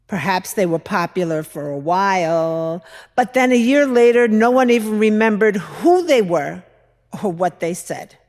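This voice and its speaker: A woman performing a dramatic monologue as a skeptical older mother-in-law from biblical times, telling her memories in a deliberate, expressive, reflective way. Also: medium pitch, close to an everyday voice. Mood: doubtful, wry. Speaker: dramatic reader at a church service. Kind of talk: dramatic monologue, measured storytelling. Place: large church interior. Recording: echoey church hall, long reverb.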